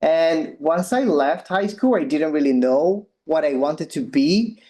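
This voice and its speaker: Young brazilian guy presenting his research, kinda high voice, lots of ums. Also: animated, measured pace, darkish tone. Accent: Brazilian Portuguese. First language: Portuguese. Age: young adult. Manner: frequent ums and uhs, loose non-native phrasing. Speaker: postdoctoral researcher giving a talk. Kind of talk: informal science presentation.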